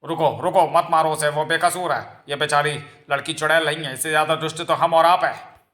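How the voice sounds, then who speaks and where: Casting: male reader as scheming old rich patriarch, bright forceful voice, scolding, melodramatic, comic-book drama. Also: medium pitch, fairly even intonation, a little fast, lightly acted. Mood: pleading, remorseful. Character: rich, stubborn, scheming old village landlord father.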